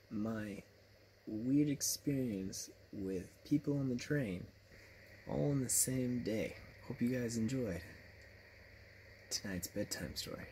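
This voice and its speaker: A young man telling casual stories to camera in an animated, acted-out way, recorded on a phone in a bedroom. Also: medium pitch, slight background noise, rough recording. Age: young adult. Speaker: vlogger telling personal stories. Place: small bedroom, lying in bed. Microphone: phone at arm's length.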